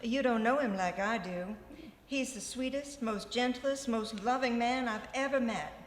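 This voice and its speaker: A woman's voice: fairly high pitch, a little slow, natural voice. A woman playing a dreamy, earnest young farm daughter in a comic radio play, gushing and melodramatic. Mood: earnest, yearning. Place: live on stage in a ballroom. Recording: echoey live hall, faint background noise.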